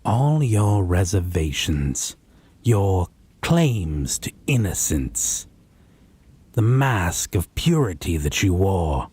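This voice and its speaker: Man playing a very deep, breathy possessive vampire. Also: sing-song, darkish tone, no room sound, full character voice. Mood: scornful, menacing. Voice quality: breathy, husky. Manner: haughty, slow, deliberate, theatrical menace. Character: possessive, condescending male vampire lover. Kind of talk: scripted roleplay audio drama monologue.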